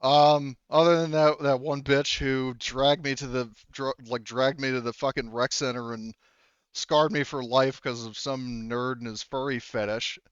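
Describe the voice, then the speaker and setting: A sullen male soldier in a tabletop game, acted out by a game master, with a smooth, clean voice at middling pitch. He talks lazily and dully, bored and resentful, in a dry but slightly muffled recording. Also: fairly even intonation, measured pace, no room sound, close to an everyday voice. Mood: dull resentment. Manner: dull, lazy, casual.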